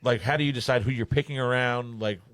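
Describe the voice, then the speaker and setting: A man's voice: medium pitch, fairly even intonation, fast talker, a bit bright, no echo. A man hosting a casual sports podcast, talking in a rambling, joking way. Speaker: podcast host and racing spotter.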